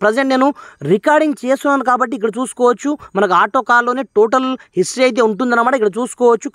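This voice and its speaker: A man's voice: very high-pitched, fairly bright tone, dry recording, studio quality. A man hosting a tech tutorial, addressing viewers as friends in an instructional way. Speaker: YouTube tech channel host. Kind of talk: tech tutorial to camera and screen demo.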